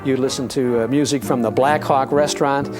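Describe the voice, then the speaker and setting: An older man explaining and reminiscing in a measured way for a documentary interview. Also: medium pitch, fairly even intonation. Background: music.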